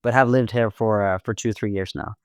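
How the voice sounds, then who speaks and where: A man in his twenties with a Norwegian accent, chatting casually as a podcast guest, halting often with ums and repeated words. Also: on the low side, steady tone, darkish tone, dry recording, studio quality. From Norway. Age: 20s. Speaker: podcast guest, amateur marathon runner. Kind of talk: casual interview chat.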